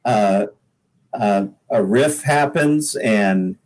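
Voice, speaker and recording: A man's voice: lowish voice, extremely slow, a little mellow. A man in his fifties from the Pacific Northwest with an American accent, chatting casually and jokingly as a songwriter guest in an interview. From Pacific Northwest, United States. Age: 50s. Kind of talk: casual podcast interview chat.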